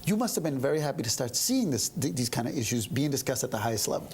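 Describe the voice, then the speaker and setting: Lively, fast-talking male talk show host. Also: medium pitch, a little hiss or hum. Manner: joking, lively, quick questions. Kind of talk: panel talk show hosting.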